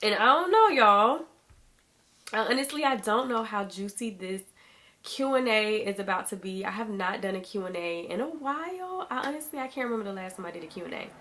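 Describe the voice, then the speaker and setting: A woman's voice: mid-range voice, animated, a little fast, pushed and bright, a little hiss or hum. A thirty-year-old woman vlogging to camera in a chatty, casual way, her throat a little sore as she gets over being sick. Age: 30s. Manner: chatty, addresses viewers as y'all. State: recovering from illness, sore throat.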